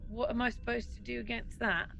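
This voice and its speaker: A woman's voice: fairly high pitch, flat delivery, a little fast, a crowd in the background. A woman playing a tabletop game, chatting casually about her moves in a playful, wry way. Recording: clear background noise.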